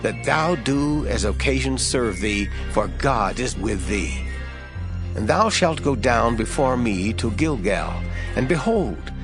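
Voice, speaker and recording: A man's voice: medium pitch, vocal fry. A man playing a solemn, commanding prophet addressing his people in a dramatized scripture audio drama, grave and declamatory. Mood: solemn instruction.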